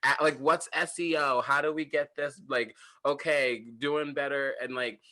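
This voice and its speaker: A man hosting a casual home podcast, with a very bright, ringing tone. He talks in animated bursts full of "like" and "you know", often breaking into laughter. It sounds like casual chat recorded from a bedroom. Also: mid-range voice, a little slow. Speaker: podcast host and comedian.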